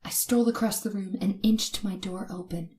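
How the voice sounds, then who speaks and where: An actress playing a terrified young woman who narrates her night of terror in old-time radio suspense style, dramatic and halting. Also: medium pitch, fairly even intonation, darkish tone, lightly acted. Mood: hushed dread.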